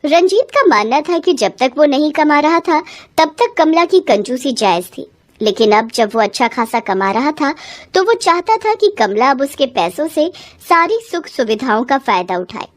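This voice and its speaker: A woman narrating a cartoon storybook with lively, scripted delivery. Her voice sits a bit high for a woman, and she carries the story forward brightly. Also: normal speed.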